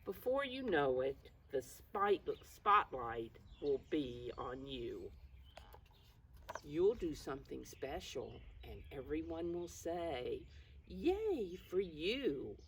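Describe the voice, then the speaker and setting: A woman's voice: deep voice, sing-song, slow pace, a bit bright. A mature woman reading a picture book to preschoolers as their teacher, explaining it simply and encouragingly as she speaks directly to them. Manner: encouraging, addresses young children directly. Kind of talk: children's read-aloud with simple explanations. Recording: distant mic with faint background noise.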